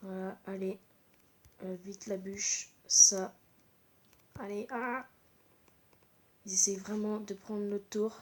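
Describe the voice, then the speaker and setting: A woman's voice: mid-range voice, level tone, takes it slowly, long pauses. A woman with a stuffy, congested voice from a cold, giving live gameplay commentary in short, repeated exclamations. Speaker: gaming channel host.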